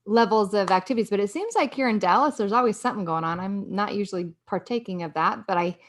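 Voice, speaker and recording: A woman on a podcast panel, chatting casually and responding warmly to the guest. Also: medium pitch, fast, slight background noise. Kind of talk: casual chat.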